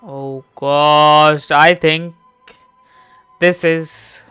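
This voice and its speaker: A man's voice: on the high side, fairly even intonation, very slow, bright, forceful tone, smooth, dry recording, soft background music. A young man with an Indian accent giving casual live-stream gaming commentary into a close microphone.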